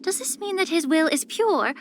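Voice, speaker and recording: A woman voicing a poised, soft-spoken, subtly sly young female healer in a video game, with measured, gentle, composed delivery. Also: very high-pitched, lively intonation, slight background noise. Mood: fond, musing. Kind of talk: scripted character voice lines.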